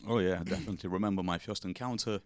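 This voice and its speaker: A man's voice: deep voice, rapid-fire, no room sound, studio quality. A Russian-born man in his forties who grew up in England, speaking in a laid-back, swear-heavy chat as a podcast guest. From Russia, raised in England. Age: 40s. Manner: laid-back, swears freely, British slang. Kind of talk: casual interview chat.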